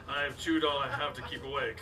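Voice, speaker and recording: A man acting a good-natured, skeptical middle-aged small-town suitor in an old-time radio comedy, hesitant and full of drawn-out uhs. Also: mid-range voice, fairly even intonation, sharp tone, slight background noise, natural voice. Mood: weary, teasing. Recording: stage radio play, distant mic, music behind.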